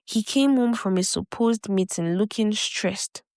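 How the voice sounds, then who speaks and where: A woman's voice: medium pitch, measured pace, darkish tone, no echo, clean studio sound. A woman storyteller reading a scripted tale as narration. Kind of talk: scripted folktale narration with acted dialogue.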